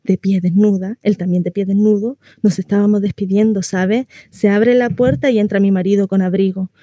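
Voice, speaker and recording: A woman's voice: mid-range voice, fairly even intonation, moderate pace, mellow, dry recording, close to an everyday voice. A woman acting a naive, chatty housewife shut in at home, confiding in a neighbour in a rambling radio monologue. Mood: breathless recounting. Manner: confiding, rambling, conversational storytelling with rhetorical questions.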